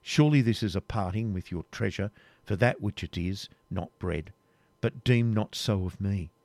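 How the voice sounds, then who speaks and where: A man's voice: lowish voice, moderate pace, no room sound, natural voice. A man voicing a resolute young woman. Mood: earnest. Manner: earnest, steady pleading. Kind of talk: audiobook reading, period novel.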